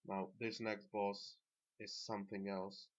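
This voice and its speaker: A man with a very low, flat voice talks through a game guide, unscripted and correcting himself as he goes. English is not his first language. The recording sounds dull and lo-fi, like a cheap desk mic. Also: unhurried. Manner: unscripted, self-correcting, with fillers. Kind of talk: casual gameplay commentary.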